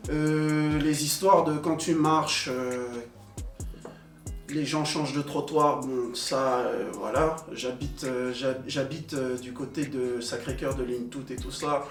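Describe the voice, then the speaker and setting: A young man who grew up in Belgium, telling his own experiences in a relaxed group talk with many fillers and pauses. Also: mid-range voice. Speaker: panel guest. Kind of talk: casual conversation. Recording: echoey room, distant mic, soft music.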